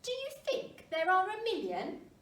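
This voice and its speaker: Woman voicing a small curious penguin, high-pitched and questioning. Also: wildly swooping pitch, a little slow, fairly bright tone, heavily acted. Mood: curious, wondering. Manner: wondering, questioning. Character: small curious young penguin, earnest, always asking questions. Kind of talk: children's storybook read aloud. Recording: roomy, distant mic, faint noise.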